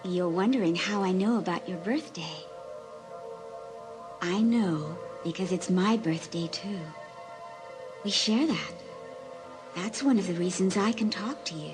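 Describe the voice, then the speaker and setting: An actress playing the ghost of a sixteen-year-old girl, speaking slowly in a hushed, breathy, pleading and wistful way in an audiobook drama. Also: on the low side, music in the background, lightly acted. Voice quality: breathy, whispery. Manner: slow, hushed, earnest.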